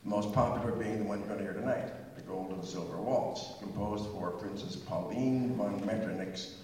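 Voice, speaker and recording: A man's voice: on the low side, normal speed, warm tone, slight background noise. An older man giving a chatty, digressive music history talk before a concert, with asides and a few hummed bits of melody. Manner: chatty and digressive, sings snatches of tunes. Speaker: pre-concert speaker. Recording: echoey hall from far off.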